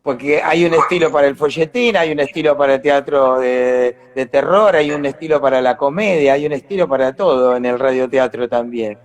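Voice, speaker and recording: A man's voice: medium pitch, fairly quick, hardly any pauses. An Argentine man, an actor being interviewed, talking casually and reflectively as he explains things and searches for words. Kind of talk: casual live conversation.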